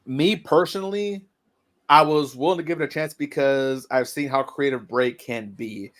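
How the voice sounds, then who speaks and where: A man in casual podcast chat, measured and reflective, often stammering into his points. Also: mid-range voice, fairly bright tone. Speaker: podcast co-host.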